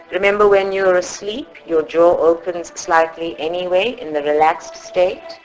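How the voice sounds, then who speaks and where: A woman from South Africa leading a guided meditation in a soothing, instructive manner, with long pauses between short phrases. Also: deep voice, poor audio. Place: live group meditation session at a centre.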